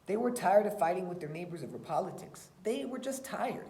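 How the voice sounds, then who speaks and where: A woman teacher reading aloud and talking to students in a deliberate, emphatic way. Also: fairly low pitch, lively intonation, warm tone, a little hiss or hum. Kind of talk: expressive read-aloud and lesson talk for children. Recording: roomy, echoey sound.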